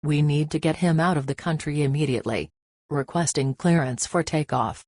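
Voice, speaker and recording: A man's voice: a little high, normal speed, very noisy, close to an everyday voice. A man playing an urgent presidential pilot, making a formal, clipped radio call in a scripted drama.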